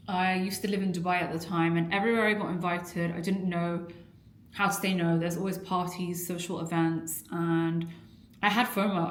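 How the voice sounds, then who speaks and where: A young adult woman from an Iraqi family, a weight-loss coach talking candidly and earnestly to camera in a personal vlog. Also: fairly low pitch. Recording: echoey room.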